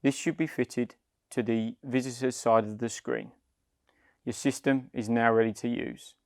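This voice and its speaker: A man's voice: medium pitch, steady tone, unhurried, creaky, a little mellow, dry recording, clean studio sound. A man giving a scripted instructional product narration, explaining plainly step by step. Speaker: company head of client development, instructor.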